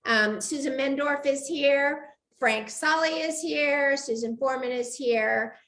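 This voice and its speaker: A woman's voice: high-pitched, unhurried, a bit bright. An older woman hosting a chatty livestream interview, effusive and welcoming with her guest. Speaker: live show host. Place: video call livestream.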